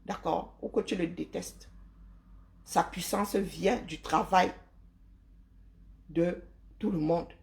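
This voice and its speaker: A woman's voice: fairly low pitch, takes it slowly, vocal fry, long pauses, a little mellow. A woman from Cameroon, hosting a live stream and lecturing her viewers emphatically, with rhetorical questions and tag words like "hein". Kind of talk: opinionated live-stream monologue.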